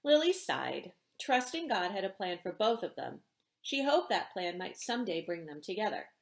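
A middle-aged woman reads fiction aloud as its author. Her pitch moves in a lively way over a medium register. The room adds noticeable echo around her voice. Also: normal speed, vocal fry. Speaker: author reading from own novel. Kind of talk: expressive read-aloud narration of a romance novel. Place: indoor room with bookshelves. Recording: roomy indoor echo.

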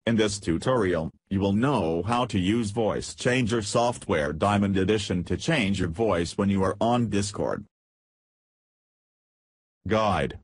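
A man narrating a scripted software tutorial in a flat, read-aloud instructional way. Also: fairly low pitch, unhurried, breathy edge, no echo.

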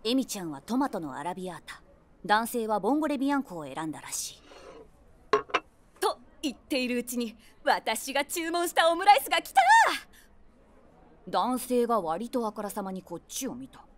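A woman's voice: a little high, very animated, moderate pace, heavy background noise. An actress playing a wry woman of forty-six, a self-deprecating eavesdropper narrating an audio drama conversationally in the first person. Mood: amused commentary. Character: wry 46-year-old woman, eavesdropping daydreamer.

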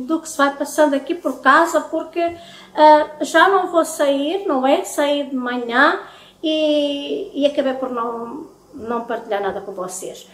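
Middle-aged female vlogger, European Portuguese accent, high-pitched, chatty and familiar, recorded in an echoey kitchen. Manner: chatty, affectionate address to viewers. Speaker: home vlogger. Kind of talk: casual chat to viewers. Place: home kitchen, indoors.

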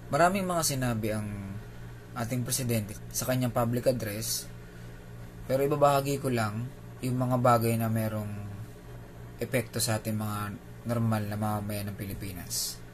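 A man's voice: mid-range voice, normal speed, long pauses, a little hiss or hum. A man with a Filipino accent hosting a video, reading a scripted news explainer. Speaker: video host.

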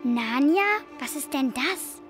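High-voiced, polite little girl. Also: expressive, takes it slowly, soft background music. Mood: polite, curious. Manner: polite, earnest, inquisitive. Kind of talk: storybook audio drama acting.

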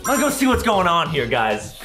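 A young man with a high, animated voice hosts a gaming video for kids. He is excitable and theatrical, often shouting. He is recorded in an echoey room over music. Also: normal speed. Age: 20s. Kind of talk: exaggerated kids' gaming commentary. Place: small room at home, indoors. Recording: roomy echo with loud background music.

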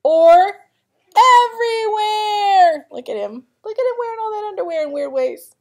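A woman's voice: extremely high pitch, lively intonation, normal speed. A woman reading a children's picture book aloud in a playful, dramatic manner, with chatty asides to young listeners. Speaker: elementary school vice principal reading to pupils.

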